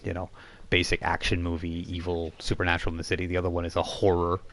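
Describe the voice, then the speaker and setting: Deep, gravelly-voiced man running a tabletop game. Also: completely flat delivery, quick pace, a bit bright. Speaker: game master. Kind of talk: casual narration of a tabletop roleplaying game.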